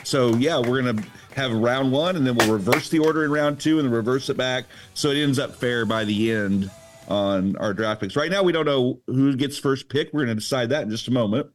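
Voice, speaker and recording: A man's voice: mid-range voice, brisk. A man hosting a casual podcast, explaining the rules in an upbeat, explanatory way while music plays behind him. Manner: upbeat and explanatory, walks listeners through the rules. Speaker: podcast host running a draft lottery. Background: music playing behind him.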